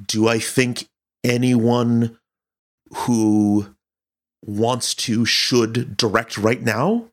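A man's voice: medium pitch, fairly even intonation, extremely slow. A man answering interview questions as an audio director, speaking earnestly and thoughtfully, often searching for his words.

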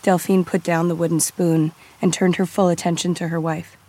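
A woman narrates scripted fiction in a low voice. She reads as an audiobook storyteller, setting scenes and describing characters. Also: little pitch movement, moderate pace, dark tone.

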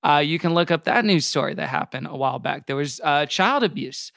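A man's voice: fairly high pitch, lively intonation, fairly quick, creaky, a bit bright, no echo, clean studio sound. A man co-hosting a podcast in casual discussion, wry and often qualifying his points as he goes. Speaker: podcast co-host.

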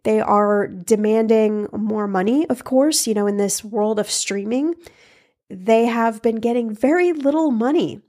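Hoarse woman podcast host, froggy after a cold, chatty and upbeat. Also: on the high side, unhurried, dark tone. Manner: chatty, laughs between points. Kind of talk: casual solo podcast talk.

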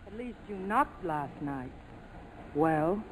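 Guarded, hard-boiled dame. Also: female voice, lowish voice, expressive, slow pace. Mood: sardonic. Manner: cool, cutting, sardonic. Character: hard-boiled sardonic young American woman, defiant and guarded. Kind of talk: 1940s radio acting. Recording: noisy old 1940s radio broadcast.

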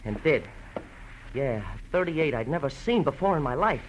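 Actor as a nervous, halting ex-convict. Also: male voice, on the high side, lively intonation, muffled recording, lightly acted. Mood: bitter, agitated. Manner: halting, nervous, repeats words. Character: nervous, bitter framed gas-station man, just out of jail. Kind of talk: 1940s-50s radio drama acting. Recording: old radio broadcast, faint noise.

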